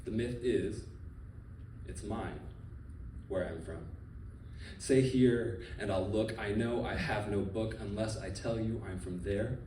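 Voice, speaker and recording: A man with a fairly low voice reads his poems aloud at a live event, breaking into loose, joking banter between pieces. He stands back from the mic, and the sound carries through a roomy hall. Steady room noise sits underneath him. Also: slow, distant mic. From Philippines. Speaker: poet. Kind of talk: live poetry reading with banter. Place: live reading venue. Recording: roomy live venue, off-mic, audience ambience.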